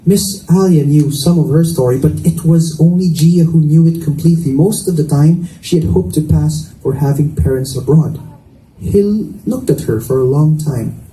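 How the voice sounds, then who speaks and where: A man's voice: fairly high pitch, normal speed, dark tone. A man reading scripted narration from a romance novel aloud in a roomy indoor space. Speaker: reader. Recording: echoey room, distant mic, faint noise.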